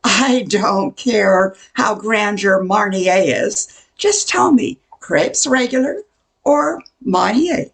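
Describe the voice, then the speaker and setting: A woman's voice: medium pitch, expressive, slow pace. An older woman playing a brassy, short-tempered diner waitress with a blunt, sassy manner in an amateur radio play. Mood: exasperated.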